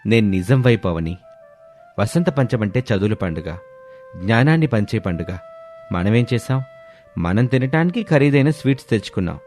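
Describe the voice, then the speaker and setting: A man's voice: on the low side, big pitch swings, normal speed, long pauses, a little mellow, soft background music. A man voicing an honest, fair-minded husband in a family story, speaking earnestly. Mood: earnest, admitting fault. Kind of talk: scripted story dialogue.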